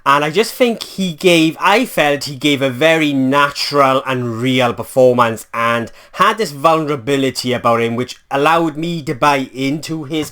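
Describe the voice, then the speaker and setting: A man's voice: a little high, a bit bright. A man from Wales with a Welsh accent, giving a casual spoken film review in a chatty, rambling way with many fillers and self-corrections.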